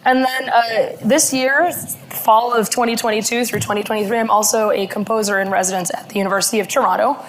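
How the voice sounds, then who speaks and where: A woman in her thirties, hoarse from laryngitis, giving a conference talk in a halting way with many fillers, speaking at a podium mic. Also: on the high side, steady tone, rough recording. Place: conference room.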